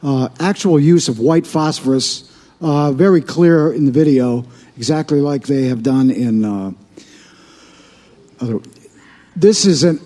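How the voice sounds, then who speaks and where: A man asking a question from the floor at a conference, halting with many pauses. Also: medium pitch, dark tone. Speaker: audience questioner. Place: conference hall. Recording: reverberant hall.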